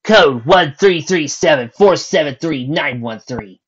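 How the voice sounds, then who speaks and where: A man's voice: mid-range voice, expressive, normal speed, fairly bright tone, slightly rough. A man voicing a bossy, tough female pony guardian in a sarcastic, blunt way, on a dull lo-fi recording.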